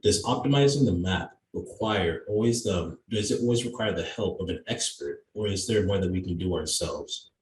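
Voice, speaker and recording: Young guy hosting a webinar, low voice, polite and formal, echoey and far from the mic. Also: moderate pace, darkish tone. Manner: courteous, formal. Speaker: webinar moderator. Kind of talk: polite question and answer moderation. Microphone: video call. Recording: roomy echo, distant microphone.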